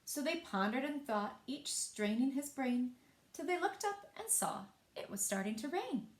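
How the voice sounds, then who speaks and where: Female children's storyteller, slow and very lively, in a roomy, echoing space. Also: high voice. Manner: animated, with asides to young listeners. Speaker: library storyteller. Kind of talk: expressive children's picture-book read-aloud.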